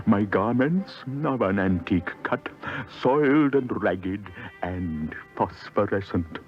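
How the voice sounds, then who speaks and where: An actor playing a proud, aggrieved centuries-old ghost with a British accent, narrating theatrically and pompously in a 1950s radio drama. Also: male voice, deep voice. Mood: ominous relish. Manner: theatrical, pompous, savoring each phrase. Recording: dull 1950s radio recording with music.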